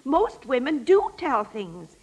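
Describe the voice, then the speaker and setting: High-voiced, chatty old landlady. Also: big pitch swings, takes it slowly, breathy edge, heavily acted, poor audio. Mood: chatty, dramatic. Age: older. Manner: garrulous, folksy, indignant when doubted. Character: chatty, nosy, lonesome old landlady, stubborn and flatterable. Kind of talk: 1940s radio acting.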